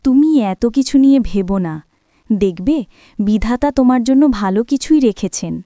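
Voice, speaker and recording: Woman as young cartoon wife, insistent, emotive, smooth clear voice, dry sound. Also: on the high side, lively intonation, moderate pace, mellow, dry recording, lightly acted. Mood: comforting. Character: young wife and mother, coaxing, easily aggrieved. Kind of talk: cartoon drama dialogue.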